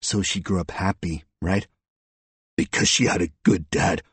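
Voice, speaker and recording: A man's voice: low-pitched, fairly even intonation, measured pace, a bit bright, slightly rough, dry recording, exaggerated voice. A man voicing a scornful, coldly relentless female police detective who is sardonic and confrontational, in an audiobook story. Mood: cool, probing.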